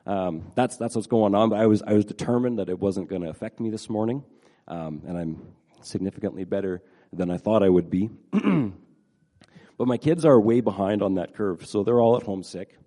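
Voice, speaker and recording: A middle-aged man preaches to his congregation in a relaxed, conversational way, his voice slightly nasal from a head cold. His tone is mellow and a bit low. He stumbles and repeats words, filling gaps with plenty of ums. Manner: halting, repeats words, many fillers. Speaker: pastor. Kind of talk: casual sermon to a congregation.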